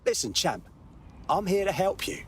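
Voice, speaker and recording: An actor playing a gruff, protective man delivering tense warnings in a video game. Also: sits high, lively intonation, moderate pace, slight background noise. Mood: stern warning.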